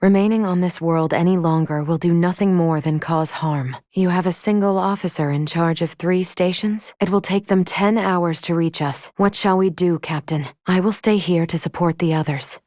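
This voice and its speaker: A woman voicing a composed, logical android starship officer in a video game, speaking formally and precisely with a measured, clinical manner. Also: on the low side, darkish tone, dry recording, clean studio sound, lightly acted. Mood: calm, analytical. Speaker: ship's operations officer. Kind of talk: scripted video game voice acting.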